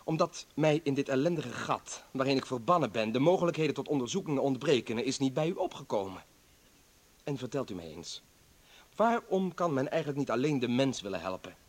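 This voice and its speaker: An actor in a radio play playing a bitter, defiant doctor who was a political prisoner, arguing with sarcasm and indignation. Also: male voice, mid-range voice, lively intonation, fairly quick, natural voice. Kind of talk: dramatic radio play acting.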